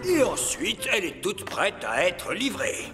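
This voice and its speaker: Casting: excitable, self-important cartoon elf craftsman, very animated delivery, long echo, music behind. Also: sits high, unhurried, full character voice. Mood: proud, explanatory. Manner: pompous, excitable, explanatory. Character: officious, fussy male elf craftsman in charge. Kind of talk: French cartoon dub acting.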